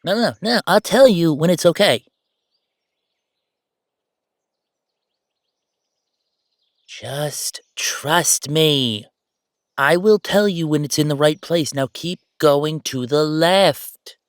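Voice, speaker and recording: A man playing a bossy, playful yet sentimental ghost roommate in a conversational roleplay audio drama, with animated, teasing banter. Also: on the high side, slow pace, no room sound, studio quality. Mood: bossy, confident.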